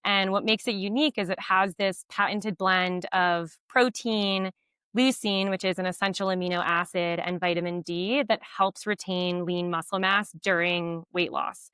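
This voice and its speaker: Podcast guest, woman, low voice but bright and crisp, dry close mic. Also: fairly even intonation, normal speed, no room sound. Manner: fluent, explanatory, with frequent fillers. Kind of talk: podcast interview.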